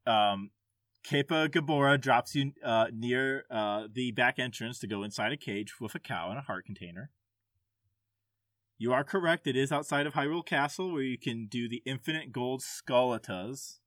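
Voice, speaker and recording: A man hosting a casual gaming podcast quiz, reading questions and answers aloud in a somewhat halting way. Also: mid-range voice, fairly bright tone, dry recording.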